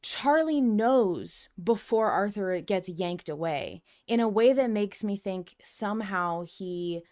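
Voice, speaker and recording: A woman's voice: mid-range voice, expressive, slow, a little mellow. A woman co-hosting a podcast in casual fan chat, talking effusively and emphatically and swearing freely. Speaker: podcast co-host. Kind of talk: casual fan discussion of an audio drama.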